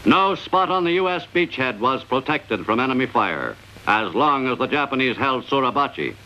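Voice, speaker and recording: A man's voice: mid-range voice, very animated, moderate pace, sharp tone, dull audio. An American man delivering scripted newsreel narration in an old wartime documentary style, on an old film soundtrack.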